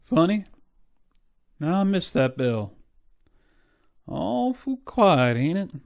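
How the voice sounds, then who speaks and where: A man's voice: high-pitched, big pitch swings, extremely slow, vocal fry, exaggerated voice. A man playing a folksy, deferential deputy in a Western radio drama, with drawling phrases. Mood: wistful. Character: folksy, deferential male deputy in the Old West.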